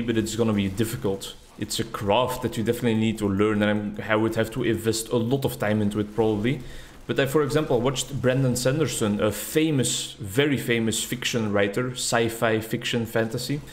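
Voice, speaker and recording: A man's voice: on the low side, fairly even intonation, a little fast. A young man, a native Dutch speaker, chatting in a rambling, reflective way while commenting on his gameplay. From Netherlands or Flanders. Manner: rambling, reflective, self-deprecating. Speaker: gaming YouTuber. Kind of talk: casual commentary over gameplay.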